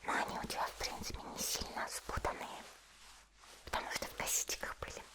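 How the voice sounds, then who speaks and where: A sweet, chatty young anime woman voiced by a woman speaks in a soft, breathy whisper. She is gentle and cooing, taking her time in an intimate ASMR hair-salon roleplay. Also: brisk, natural voice. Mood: tender, doting.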